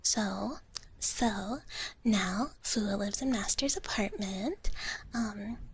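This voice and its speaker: A woman softly whispering close to the mic as a sweet, playful young dragon big sister in live ASMR roleplay, hesitant and bubbly. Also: medium pitch, drawn-out words, heavily acted, poor audio. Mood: chatty, playful. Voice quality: soft, breathy whisper. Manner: sweet, hesitant, bubbly, speaks of herself in third person. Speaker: livestream host. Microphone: close ASMR microphone.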